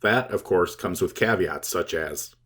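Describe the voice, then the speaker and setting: A man reading scripted instructional narration as a tutorial presenter, explaining things in a measured, step-by-step way. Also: low voice, bright, forceful tone.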